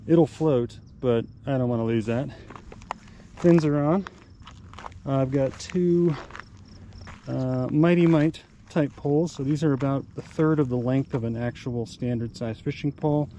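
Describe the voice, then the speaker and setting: A man's voice: mid-range voice, unhurried. A middle-aged American man casually explaining his fishing gear while out on a lake, recorded on an action camera. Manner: relaxed, chatty, explains gear step by step. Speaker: outdoor vlogger. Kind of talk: casual how-to narration. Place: outdoors on a lake, on a paddle board. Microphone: action camera mounted on the board. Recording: faint wind and water noise.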